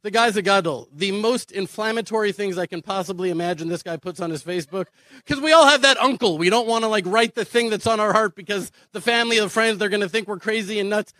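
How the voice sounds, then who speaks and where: High-pitched, earnest American man giving a speech. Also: fast talker, pushed and bright, no room sound. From Houston, Texas, United States. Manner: earnest, emphatic, repeats phrases, rhetorical questions. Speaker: speaker advocating immigration to Israel. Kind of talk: impassioned conference speech.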